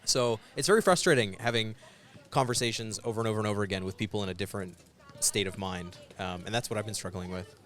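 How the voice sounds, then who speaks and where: Man, podcast host, low voice, relaxed, jokey, casual street interview. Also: fast talker, hardly any pauses. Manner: relaxed, joking, uses "like" and "you know". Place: outdoors in a public area.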